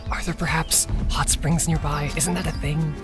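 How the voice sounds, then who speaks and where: A man's voice: a little high, flat delivery, moderate pace, over music, lightly acted. A young man acting an anxious, self-doubting, earnest twin brother in an audio drama. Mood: worried. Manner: hesitant, earnest.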